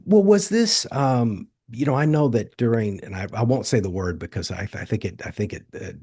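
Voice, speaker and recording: A man's voice: fairly low pitch, lively intonation, moderate pace, dark tone, slightly rough. An American man hosting a podcast, talking in a conversational, rambling way and laughing as he tells stories. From United States. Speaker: podcast host. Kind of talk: casual interview.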